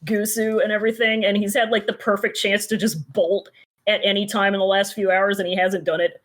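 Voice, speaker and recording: A woman's voice: medium pitch, level tone, fairly quick. A woman podcast co-host in casual comedic banter, wry, joking and slangy.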